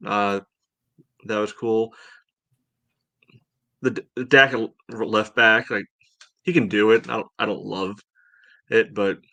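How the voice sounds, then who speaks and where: A man with a lowish voice co-hosts a sports podcast in a dry-sounding recording. He speaks casually and conversationally, offering measured opinions. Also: sharp tone, no echo.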